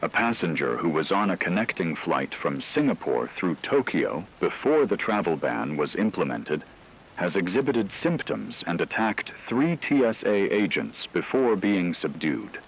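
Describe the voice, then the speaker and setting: A man plays a grave radio news anchor with a deep voice. He reads scripted emergency bulletins in a measured, clinical way. Faint noise sits under his words, as in an apocalypse radio drama. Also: very animated, exaggerated voice, low-quality recording.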